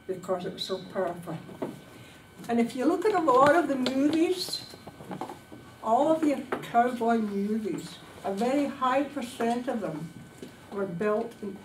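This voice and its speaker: A woman giving a prepared descriptive travel speech to a club, speaking dramatically and emphatically in short phrases with pauses. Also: mid-range voice. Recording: distant, echoey room recording with background noise.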